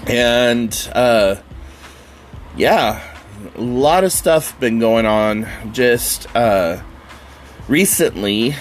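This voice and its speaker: Male podcast host, stuffed-up and nasal from a cold, unhurried delivery, chatty and rambling with loose fillers and the odd swear. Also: medium pitch, lively intonation, faint music behind. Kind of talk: casual solo podcast chat.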